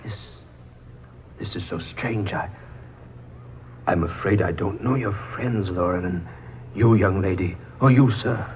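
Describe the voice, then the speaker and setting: A thin, hissy 1940s radio recording carries a low-voiced man lost in time. He speaks haltingly, bewildered yet indignant, with the authority of an old-time father. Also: highs cut off. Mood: dazed. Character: dazed man speaking as his long-dead stern father persona. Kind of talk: 1940s radio drama acting.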